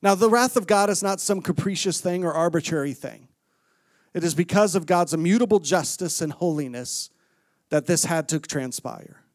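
A middle-aged preacher from Florida, speaking in a slightly high voice. He mixes scripture reading with easygoing, self-mocking asides. The sound is close and dry. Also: moderate pace, no echo. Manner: conversational, self-deprecating humor, reads scripture aloud. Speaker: guest preacher. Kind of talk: sermon. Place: church sanctuary with congregation.

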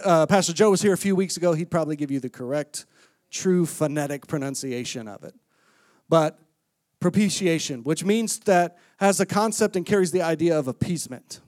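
A man's voice: a little high, a little mellow, no room sound, clean studio sound. A middle-aged man from Florida preaching a sermon to a congregation, conversational and self-deprecating, reading scripture aloud as he goes. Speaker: guest preacher. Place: church sanctuary with congregation.

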